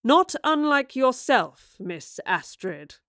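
A woman's voice: high-pitched, very animated, slow, fairly bright tone, no echo, studio quality, pitched above the performer's own voice, exaggerated voice. A woman reading a story, voicing a menacing male villain who growls and snarls threats. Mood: cold menacing. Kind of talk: audiobook reading.

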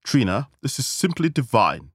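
A man's voice: medium pitch, sing-song, moderate pace, dry recording, studio quality, voice pushed up. A man voicing a gossipy, disdainful society lady with a gushing, affected manner in a comic story.

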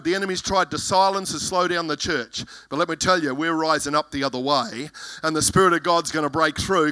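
Loud, bright-voiced male preacher. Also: sits high. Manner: fervent preaching, reading scripture verses aloud. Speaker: pastor. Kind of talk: sermon.

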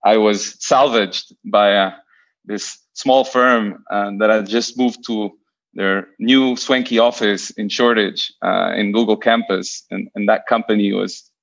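A man's voice: lowish voice, fairly even intonation, measured pace, gravelly, a bit bright, highs cut off. A man from Portugal in his thirties, a venture investor telling his career story in a podcast interview, hesitant with many repeated starts.

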